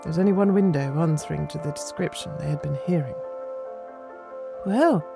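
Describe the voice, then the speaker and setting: A woman reading a ghost story aloud as dramatic, measured literary narration. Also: low voice, vocal fry, music in the background. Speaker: story reader.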